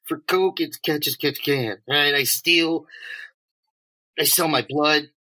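A man's voice: a little high, steady tone, slow, pushed and bright. A man playing a desperate, bitter homeless drug addict in an audio drama, agitated and stammering, swearing and sarcastic. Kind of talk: naturalistic two-person audio drama acting.

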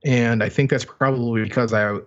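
A man's voice: mid-range voice, steady tone, a little fast. A middle-aged man co-hosting a podcast, casually talking music in a tentative, thinking-aloud way on a slightly lo-fi track. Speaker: podcast co-host.

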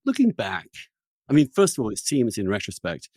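A man's voice: fairly low pitch, wildly swooping pitch, a little fast, mellow, breathy edge, no echo, studio quality. A middle-aged or older man with an English accent hosts a conversational interview, often repeating words, restarting and wandering off his point. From England. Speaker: podcast host and interviewer.

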